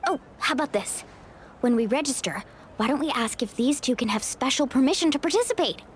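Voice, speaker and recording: A woman's voice: high-pitched, expressive, brisk, a little hiss or hum. A woman voicing a confident, upbeat, teasing young warrior in a video game. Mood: teasing, persuasive.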